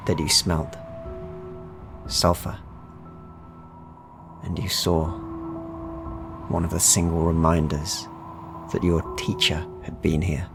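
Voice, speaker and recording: A man narrating a dark fantasy game as game master, in a dramatic, deliberate, suspenseful style addressed to the players. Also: low-pitched, creaky. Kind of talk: atmospheric second-person fantasy narration.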